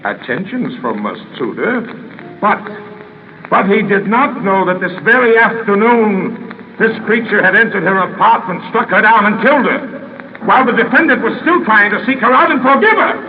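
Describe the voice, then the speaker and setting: Impassioned, higher-voiced courtroom orator. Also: male voice, lively intonation, moderate pace. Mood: impassioned, earnest. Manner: oratorical, theatrical. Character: impassioned male defense counsel addressing a jury. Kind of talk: 1940s radio acting, courtroom oratory. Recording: muffled, noisy old radio recording.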